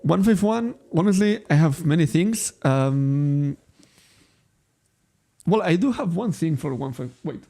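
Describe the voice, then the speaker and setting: A man's voice: on the high side, expressive, a little slow, vocal fry, mellow. A man from Spain, a native Catalan speaker with a Catalan accent in English, chatting casually on a card shop livestream, rambling, correcting himself and laughing often. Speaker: trading card shop streamer.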